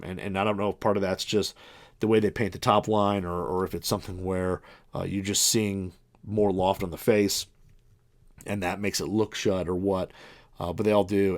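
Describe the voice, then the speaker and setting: A man's voice: low voice, dry recording. A man in his early forties hosting a podcast, talking casually and rambling through his equipment with many fillers and restarts. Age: 40s. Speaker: podcast host reviewing golf clubs. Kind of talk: casual monologue.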